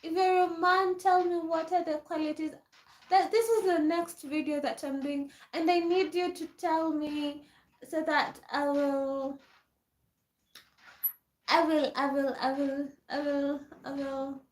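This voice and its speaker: A woman's voice: high-pitched, little pitch movement, unhurried. A young woman, chatting casually on a livestream, reading and answering viewer comments and laughing often. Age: 20s. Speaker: livestream host. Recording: echoey room.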